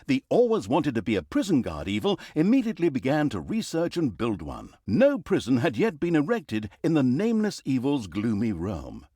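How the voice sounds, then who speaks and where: A theatrical male storyteller of an evil fantasy realm in a video game. His delivery is sardonic and mock-grand, with huge swings in pitch around a medium register. The sound is dry, with no room on it. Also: huge pitch swings, moderate pace, few pauses, dark tone, husky, dry recording. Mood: wry, explanatory. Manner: wry, mock-grand, fourth-wall-breaking storyteller. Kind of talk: theatrical scripted comic fantasy narration.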